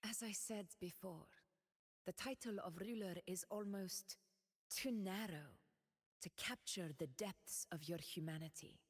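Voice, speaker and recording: Proud noble ruler in a game, solemn, measured, slow-spoken, echoing hall. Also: female voice, lowish voice. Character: proud noble woman ruler, steadfast warrior. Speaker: game character voice lines. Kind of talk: game voice lines.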